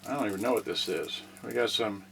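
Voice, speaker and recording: A man giving casual, halting unboxing commentary, muttering mildly grumbling asides to viewers. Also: lowish voice, vocal fry, a bit bright. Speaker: unboxing vlogger. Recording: noisy recording with rustling packaging. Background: clicks and crinkling packaging.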